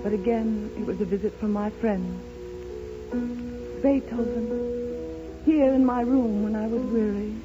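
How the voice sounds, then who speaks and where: A serene, rapturous blind woman played by an actress in a vintage radio play. She speaks in lyrical, theatrical declamation at a middling pitch. The old broadcast is muffled, with prominent music underneath. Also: fairly even intonation, measured pace, long pauses, lightly acted. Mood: rapturous, insistent. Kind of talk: 1930s radio drama monologue.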